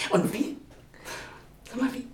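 A woman's voice: extremely slow, echoey room, slight background noise. An actress in a radio play playing a spirited, playful and irreverent female political prisoner with a theatrical, teasing manner. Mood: excited. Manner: theatrical, teasing, breaking into whispers and laughter. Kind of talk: German radio play acting.

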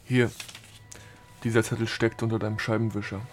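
An actor playing a gruffly matter-of-fact male comrade in a radio play. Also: lowish voice, little pitch movement, a little fast, soft background music, close to an everyday voice. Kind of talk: radio drama acting.

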